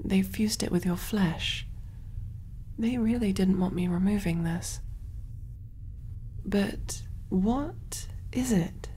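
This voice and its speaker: A woman playing a kind, motherly alien surgeon in a soft-spoken ASMR roleplay, gentle and reassuring, breathy and often whispery, musing aloud as she works. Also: medium pitch, slow pace, pauses often, a little hiss or hum, lightly acted. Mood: concerned, puzzled.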